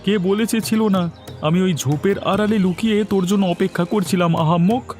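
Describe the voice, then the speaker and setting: A man's voice: sits high, fast talker, a little mellow, soft background music, close to an everyday voice. A man voicing a taunting, vengeful farm owner guarding his crop in a cartoon. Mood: gloating. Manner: taunting, defiant. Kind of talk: Bengali cartoon comedy acting.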